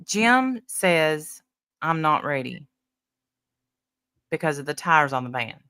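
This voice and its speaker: Lady on a podcast, low-ish voice that swoops a lot, kinda stuffed up, stops and restarts, dry sound. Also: big pitch swings, takes it slowly, bright, forceful tone, clear, resonant tone, no echo. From Tennessee. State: stuffy, sinus congestion. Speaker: podcast co-host. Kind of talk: casual chat with live viewers.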